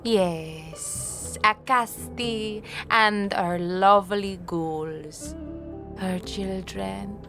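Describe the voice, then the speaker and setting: A woman's voice: medium pitch, music in the background. A woman playing a wicked, feral ghoul matriarch in an audio drama, taunting and hungry in archaic speech. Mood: gloating hunger. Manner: slow, taunting, archaic speech mixed with French words.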